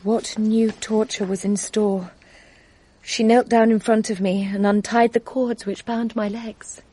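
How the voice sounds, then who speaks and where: A woman's voice: mid-range voice, level tone, a little slow, warm tone, husky, poor audio. An actress playing a young woman soberly recounting a terrifying ordeal in a radio drama. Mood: haunted.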